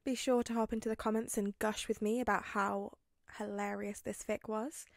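A woman narrating fan fiction in an expressive storytelling style. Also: medium pitch, moderate pace, creaky, no room sound, clean studio sound.

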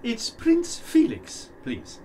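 A man playing an excitable, suave aristocratic prince and nervous conspirator in a radio play. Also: very high-pitched, takes it slowly, full character voice. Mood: blustering. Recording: distant mic, faint noise.

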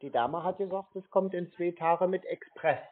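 A man's voice: high voice, normal speed, heavy background noise. A man in a casual phone conversation as a customer, insistent and repetitive in a naive-sounding way. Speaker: prank caller posing as customer. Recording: tinny narrow phone line.

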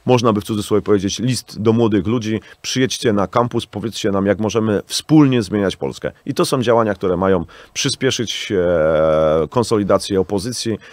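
A man in his thirties argues his case as a radio interview guest, leaning on hesitant fillers and polite, formal address to the host. His voice sits fairly low. The studio sound is close and dry, with no room echo. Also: moderate pace, few pauses, a little mellow. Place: small radio studio with acoustic panels. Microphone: studio microphone on arm.

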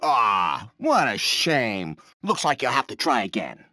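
An actor playing a cocky, taunting toy robot in a video game, with a brash, teasing, streetwise manner. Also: male voice, mid-range voice, huge pitch swings, slow pace, bright, forceful tone, no room sound, studio quality, heavily acted. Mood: mocking. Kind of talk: animated video game voice lines.

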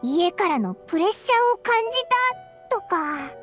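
Squeaky, very high childlike fairy companion. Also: female voice, big pitch swings, moderate pace, music in the background. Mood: hesitant. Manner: blunt, informal speech. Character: tiny childlike fairy companion, blunt and excitable. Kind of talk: video game voice acting.

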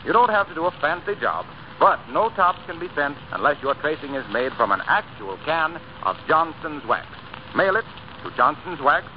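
A man speaking as an announcer in the polished style of 1930s radio. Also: on the high side. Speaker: announcer and supporting radio actor. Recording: dull, noisy 1930s radio recording.

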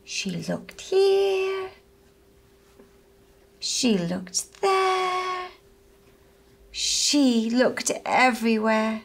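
A young woman narrates a children's puppet story. She speaks extremely slowly and gently, with deliberate expression. Her voice sits a little high. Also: very animated, vocal fry, slightly rough. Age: young adult. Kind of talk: slow storytelling for small children. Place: small furnished room indoors.

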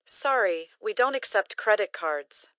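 A woman reading short English phrases slowly and carefully, each one repeated for learners to practice. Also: mid-range voice, expressive, fairly bright tone, no echo, studio quality. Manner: careful, clearly enunciated. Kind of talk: slow repeated phrase drills.

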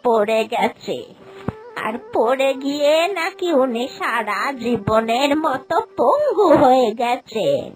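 A woman voicing a grave old village aunt in a cartoon folk tale, drawn-out and moralizing. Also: sits high, heavily acted. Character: old village aunt gravely gossiping about divine punishment. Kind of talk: Bengali animated folk-tale acting.

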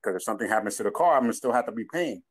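A man's voice: fairly low pitch, racing. A man in his twenties with a New York accent, co-hosting a casual podcast chat and telling stories in which he quotes people with laughs. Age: 20s. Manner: storytelling, quoting people with animated laughs. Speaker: podcast co-host.

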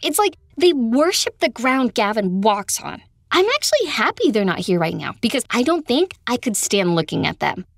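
A woman's voice: a little high, expressive, normal speed, a bit bright, no room sound, studio quality. A woman playing a sassy, sarcastic teenage girl, a nosy best friend in an audio drama. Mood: fed up.

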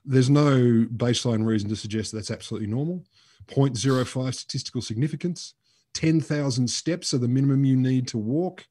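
Husky Australian man telling a science story. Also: medium pitch, fairly quick, mellow. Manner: storytelling, emphatic, gestures while talking. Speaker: podcast co-host. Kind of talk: casual science chat. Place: home room with shelves. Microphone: headset on a video call.

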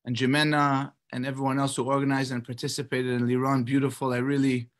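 A middle-aged American man from Los Angeles giving a prepared personal address as a rabbi, in a measured, slightly halting way on a video call. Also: mid-range voice, flat delivery. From Los Angeles, United States. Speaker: rabbi, guest speaker. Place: indoors in a book-lined study, on a video call. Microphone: computer mic on a video call.

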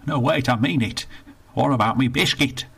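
A man's voice: mid-range voice, normal speed, gravelly, a bit bright, husky, voice pushed up, exaggerated voice. A man voicing a stubborn, belligerent older man, blustering and indignant.

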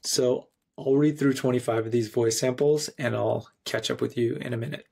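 Guy in his 30s walking thru a software how-to, mid pitch, clearly phrased. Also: steady tone, normal speed. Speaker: software tutorial presenter. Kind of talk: screencast tutorial narration.

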